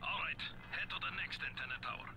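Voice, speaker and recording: Businesslike game mission handler giving orders; fairly high voice, quiet amid clear noise. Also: male voice, fast. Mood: grim, instructing. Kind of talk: video game voice acting. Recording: quiet game audio, clearly noisy background.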